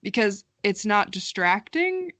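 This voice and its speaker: A young woman co-hosts a podcast in relaxed, informal conversation. Her voice is recorded close and dry, with no room sound, and she has a wry, understated way of putting things. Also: medium pitch, measured pace, no echo. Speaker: podcast co-host. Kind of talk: casual chat.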